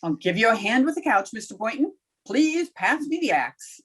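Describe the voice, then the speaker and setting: A woman plays a dry-witted schoolteacher in a radio comedy. Her voice is high and swoops freely as she delivers sardonic wisecracks. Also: sing-song, moderate pace, noisy background. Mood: wry. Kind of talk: old-time radio comedy acting.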